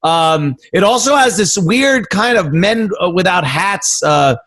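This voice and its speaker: A man's voice: high voice, lively intonation, measured pace, a bit bright. A man co-hosting a comedy podcast, bantering casually and riffing with amusement. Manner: amused, riffing on the other speaker. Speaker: podcast co-host.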